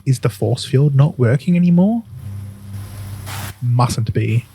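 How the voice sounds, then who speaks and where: A man's voice: medium pitch, lively intonation, slow pace, dark tone, slight background noise. A man narrating a scripted audio drama in the second person, telling the listener what they do and feel. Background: music.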